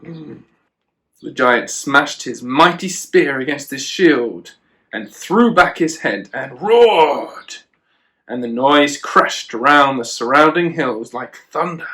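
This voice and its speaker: A man's voice: medium pitch, animated, takes it slowly, bright, forceful tone. A man reading a children's storybook aloud, with chatty asides to a child. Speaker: children and youth worker, storyteller.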